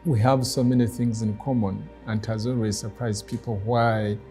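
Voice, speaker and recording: A middle-aged man with a Rwandan accent, a native Kinyarwanda speaker, talking reflectively in a deliberate, measured way with pauses. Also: fairly low pitch, flat delivery, warm tone, soft background music. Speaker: guest speaker, former ambassador. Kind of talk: reflective personal talk.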